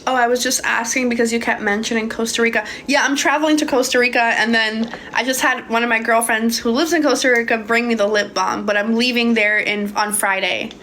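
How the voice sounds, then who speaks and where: Casual, slightly high-voiced woman coach. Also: steady tone, brisk, hardly any pauses, pushed and bright, slight background noise. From Hungary. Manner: casual, swears freely, calls viewers babe. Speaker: manifestation coach. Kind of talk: casual livestream Q&A, reading viewer comments. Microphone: phone.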